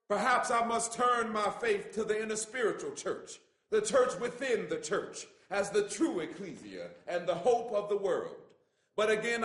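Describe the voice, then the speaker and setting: A man reads aloud in an oratorical style, his voice set unusually high. The delivery is solemn, measured and impassioned, and the room adds a clear echo. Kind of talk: oratorical reading of a famous letter. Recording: echoey room.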